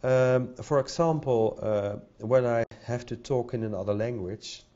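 A man's voice: medium pitch, unhurried, warm tone. Hesitant Dutch-accented man at a podium. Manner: hesitant, many fillers and repeated words. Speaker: conference speaker. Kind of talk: conference talk. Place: conference hall with audience. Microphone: podium microphone. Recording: dull lo-fi sound.

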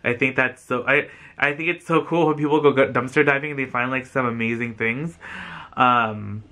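A man's voice: medium pitch, fairly even intonation, moderate pace, bright, forceful tone. An American man in his thirties talking casually to the camera as a vlogger, effusive and rambling, with lots of "like". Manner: effusive, chatty, rambling with frequent "like". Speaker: vlogger and thrift reseller. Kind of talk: casual chatty vlog to camera. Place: small furnished room indoors, on a sofa.

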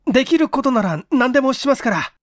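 A man's voice: very high voice, expressive, fast, dry recording, studio quality, pitched above the performer's own voice. A man voicing a timid, flustered and devoted man, speaking earnestly in an audiobook. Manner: stammering, earnest. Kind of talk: audiobook character acting.

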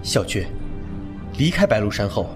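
A man's voice: fairly low pitch, sing-song, moderate pace, over music. A man playing an upright, earnest young swordsman of a noble clan in a Chinese wuxia audio drama, admonishing in manner. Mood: grave, admonishing.

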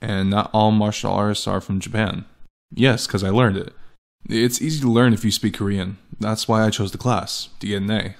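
A man's voice: on the low side, steady tone, moderate pace. A man giving a comic dramatic reading of text messages, with sardonic, exasperated delivery. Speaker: host and voice actor. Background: background music.

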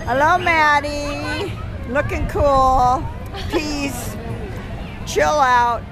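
Older woman narrating over loud fairground noise. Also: sits high, fairly even intonation, drawn-out words. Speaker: grandmother filming a family outing. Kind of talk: casual home video narration and chat. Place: outdoors at a county fair. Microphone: handheld camera mic, held by the speaker. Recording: loud fairground crowd and music behind.

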